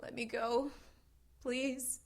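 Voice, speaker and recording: A woman's voice: fairly high pitch, flat delivery, drawn-out words, full character voice. A woman playing an embattled police officer in an audio drama, strained and emotional. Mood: frightened pleading. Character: embattled young policewoman, defiant but frightened.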